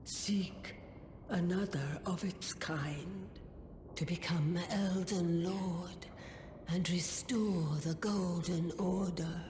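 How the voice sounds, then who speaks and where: An actress voicing an ancient crone oracle in a fantasy game, breathy and solemn, intoning as if in prayer in a reverberant hall. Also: female voice, fairly low pitch, fairly even intonation, a little mellow. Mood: solemn, grave. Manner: incantatory, solemn, drawn-out. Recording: long hall reverb, clear ambient noise.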